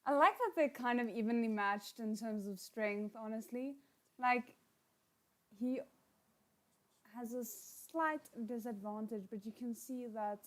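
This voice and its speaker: A woman giving casual live reaction commentary, musing aloud in a halting way with trailing asides. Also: on the high side, poor audio. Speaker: reaction host.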